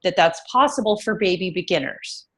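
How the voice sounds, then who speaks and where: A woman's voice: lowish voice, lively intonation, normal speed, husky. A woman hosting a casual interview, chatty and full of fillers, with a slightly froggy voice. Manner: chatty, many fillers and restarts. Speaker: host.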